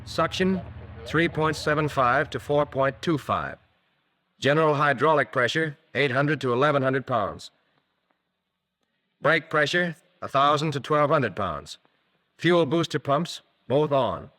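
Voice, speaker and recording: An American man narrating a scripted 1940s instructional film in a crisp, methodical manner, on a vintage film soundtrack. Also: on the high side, a little slow, sharp tone.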